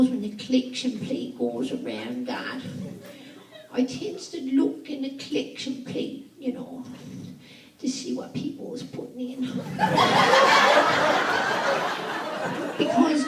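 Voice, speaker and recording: Woman doing a giggly church lady, older, high and lively, in an echoey live room. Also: exaggerated voice. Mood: confiding. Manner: chatty, confiding, giggly, folksy dialect. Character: gossipy older churchgoing woman confessing to God. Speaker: comedian. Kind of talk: stand-up character monologue. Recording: echoey live stage from far off. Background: audience laughter and noise.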